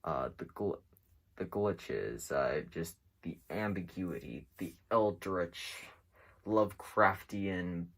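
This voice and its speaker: A man giving casual, unscripted commentary as a video host, rambling along with halting pauses. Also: sits low, expressive, a bit bright. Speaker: host of an internet mystery series.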